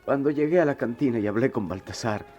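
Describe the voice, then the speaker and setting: A man's voice: mid-range voice, warm tone, dull audio, soft background music, close to an everyday voice. An actor playing a troubled, proud, streetwise poor boy in a radio drama, with a Mexican accent and slangy, impassioned delivery. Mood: anguished, ashamed. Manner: slangy, impassioned, halting when cornered.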